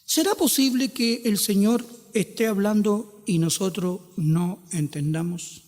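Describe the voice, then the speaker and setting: High-voiced Chilean preacher in a reverberant hall. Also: male voice, darkish tone. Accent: Chilean Spanish. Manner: rhetorical questions, emphatic rises and drawn-out quotes. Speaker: pastor. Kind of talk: sermon.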